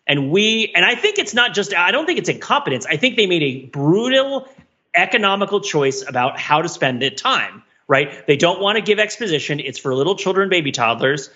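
A man co-hosting a podcast, talking casually and digressively about films in an animated, wryly analytical way. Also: high-pitched, fairly quick, lo-fi sound. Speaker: podcast co-host. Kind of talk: casual film discussion, rambling commentary.

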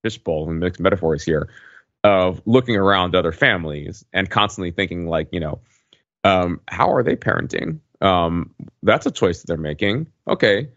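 Male podcast co-host, deep register, wry and rambling delivery, close dry sound with muffled top end. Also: expressive, a bit bright, dry recording. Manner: halting, digressive, wry. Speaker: podcast co-host recounting a trip. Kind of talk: casual conversational storytelling.

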